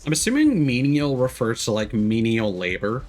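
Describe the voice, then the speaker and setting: A man's voice: medium pitch, big pitch swings, moderate pace, a little mellow, faint music behind. A man giving casual, off-the-cuff commentary as he streams a video game. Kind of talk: casual let's-play commentary.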